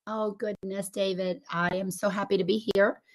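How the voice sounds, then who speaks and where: A woman coach whose voice is raspy after laryngitis, talking earnestly and expansively over a remote call. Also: mid-range voice, little pitch movement, moderate pace. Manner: earnest and expansive, building on images. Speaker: coach and guest. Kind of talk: casual spiritual podcast chat.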